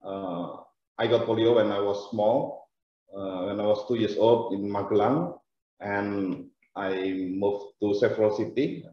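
A man in his forties with an Indonesian accent, giving a webinar presentation in a careful, halting way with many pauses. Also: on the low side, fairly even intonation. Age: 40s. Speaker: guest lecturer. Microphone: video call. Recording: echoey, dull video call audio.

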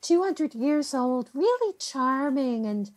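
A woman's voice: high-pitched, sing-song, slow pace, heavily acted. A woman playing a chatty, hospitable small-town host in an audio drama, effusive, folksy and coaxing. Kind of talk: naturalistic audio drama acting.

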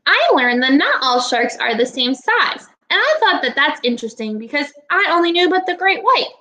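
A woman in her twenties, a teacher giving a read-aloud lesson to young children in a deliberate, instructive way. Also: high-pitched, big pitch swings, bright, forceful tone. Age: 20s. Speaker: elementary school teacher. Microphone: laptop webcam at arm's length.

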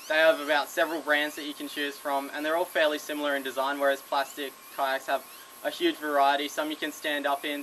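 A young Australian man, a kayak fishing vlogger, giving casual tips to the camera from an outdoor veranda, relaxed and chatty with the odd restart. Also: on the high side, a little hiss or hum, poor audio. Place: under a covered veranda outdoors.

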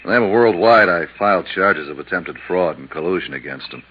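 Very deep, laconic insurance man. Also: expressive, a little fast. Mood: businesslike. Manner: laconic, clipped. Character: brisk, businesslike male insurance man, investigator's partner. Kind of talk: 1950s radio drama acting. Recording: muffled, lightly noisy 1950s radio recording.

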